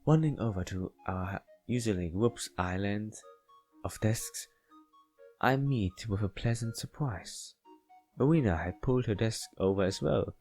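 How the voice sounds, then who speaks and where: Slow, halting man narrating a game. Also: lowish voice, darkish tone, faint music behind. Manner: halting, stumbles and repeats words while reading. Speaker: gaming video host playing a visual novel. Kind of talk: casual let's play commentary with read-aloud story text.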